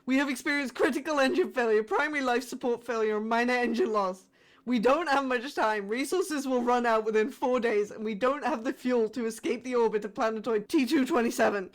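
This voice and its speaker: Woman acting a higher-voiced, frightened spaceship crew survivor. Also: natural voice. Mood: grave, urgent. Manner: formal reporting that breaks into shaken, halting, emotional delivery. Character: frightened female spaceship crew survivor sending a distress message. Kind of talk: scripted sci-fi horror audio drama monologue.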